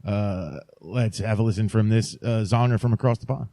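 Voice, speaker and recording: A man hosting a podcast in a casual, rambling way, halting often and joking with mock sincerity. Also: fairly low pitch, dark tone, no echo, studio quality. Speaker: podcast host.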